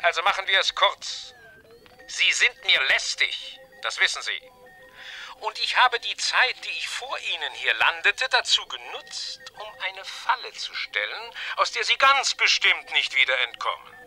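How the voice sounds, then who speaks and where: A mad scientist villain from a science-fiction radio play. He is very bright and sharp, slow and hugely animated, gloating with theatrical politeness and sneering menace. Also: sits high, soft background music, heavily acted. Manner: theatrical, mock-polite, sneering, with drawn-out words. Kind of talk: 1980s science-fiction audio drama acting.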